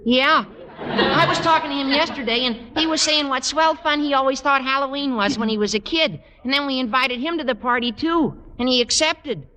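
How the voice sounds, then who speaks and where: An actor playing an eager, gawky American teenage schoolboy with a cracking adolescent delivery in an old radio comedy. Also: male voice, very high voice, moderate pace, sharp tone, a little hiss or hum. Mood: disappointed, persuasive. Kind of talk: 1940s-50s radio comedy acting. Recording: old radio broadcast, long reverb.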